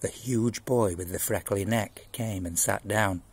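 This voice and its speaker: A man in his sixties with a husky voice, reading a children's novel aloud in an expressive storytelling style, outdoors. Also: on the low side, measured pace. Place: outdoors in a garden. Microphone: phone at arm's length.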